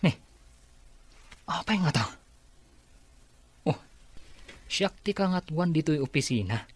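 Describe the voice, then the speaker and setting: A man's voice: fairly high pitch, animated, takes it slowly, no echo. A man with an Ilocano accent playing a sheepish, evasive office employee in a radio comedy drama. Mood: evasive, startled.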